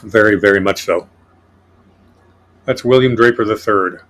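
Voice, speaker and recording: A man's voice: lowish voice, a little slow, fairly bright tone. A man hosting a casual political podcast, reading from his notes in a sardonic way. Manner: sardonic, reads notes aloud. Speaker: podcast host.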